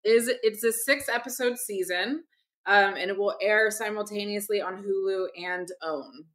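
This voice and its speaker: A woman from Barbados, a producer who is a guest in a conversational interview, talking in a lively way. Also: medium pitch, normal speed. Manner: lively, laughs through her stories.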